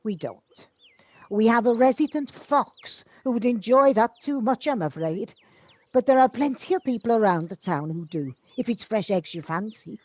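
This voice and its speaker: A woman's voice: fairly high pitch, animated, moderate pace, a little mellow. A woman playing a kindly, chatty and slightly reserved neighbour in an amateur audio drama, speaking politely. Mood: chatty, approving. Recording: home-recorded on a personal device.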